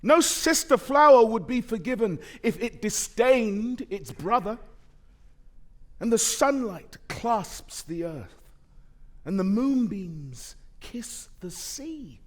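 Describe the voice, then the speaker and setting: A man's voice: high-pitched, sing-song, takes it slowly. A man, an actor, reciting romantic poetry on a theatre stage.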